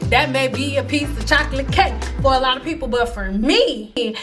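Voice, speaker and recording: A woman in her early thirties telling life stories casually to camera, animated and slangy, acting out the people she quotes. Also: high-pitched, normal speed, music in the background. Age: 30s. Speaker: hairstylist vlogger.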